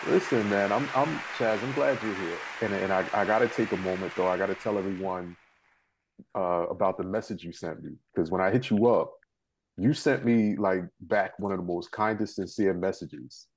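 A man's voice: deep voice, normal speed, poor audio. An American man in his thirties hosting a talk show, moving between introductions and casual interview chat. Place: living room couch at home, indoors.

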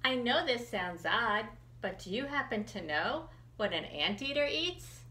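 A woman's voice: a little high, lively intonation, a little slow, bright, forceful tone, pitched above the performer's own voice. A woman voicing a polite, earnest, hungry anteater in a picture book read aloud, courteous and questioning. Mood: polite, curious.

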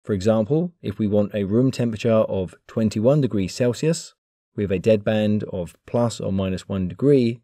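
A man giving a clear, measured, scripted narration for an engineering explainer video. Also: lowish voice, warm tone, no echo, clean studio sound. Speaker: engineering educator.

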